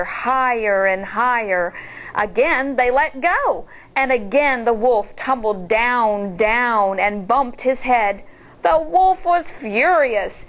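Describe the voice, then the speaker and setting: A woman reads a picture book aloud like a schoolteacher. Her voice sits on the high side and moves slowly, with expressive storytelling. The sound is a little dull. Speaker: teacher reading aloud to children. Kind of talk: storybook read-aloud with commentary.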